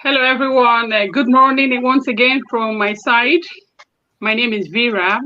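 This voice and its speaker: A woman from Nigeria introducing herself over a video call, halting with many fillers. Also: fairly high pitch, fairly even intonation, dull audio. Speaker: guest, healthcare assistant.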